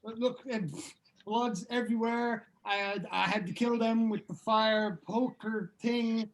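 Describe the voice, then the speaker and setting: Woman playing stressed sweary young bandmate running from monsters, highish voice, horror comedy read. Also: fairly even intonation, unhurried, low-quality recording. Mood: urgent. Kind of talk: table read of a horror comedy pilot script.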